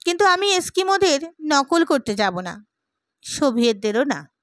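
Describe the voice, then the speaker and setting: A woman plays a fervent, idealistic gentleman in an audiobook novel. The voice is high and emphatic, talkative and oratorical, recorded dry. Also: very animated, moderate pace, dry recording. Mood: fervent, proud. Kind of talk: dramatised audiobook character.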